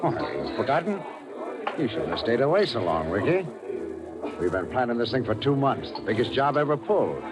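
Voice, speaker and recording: An actor playing a smooth, scheming big-shot crime boss in an old-time radio drama, persuasive and patronising. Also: male voice, mid-range voice, sing-song, brisk, close to an everyday voice. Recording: loud music behind.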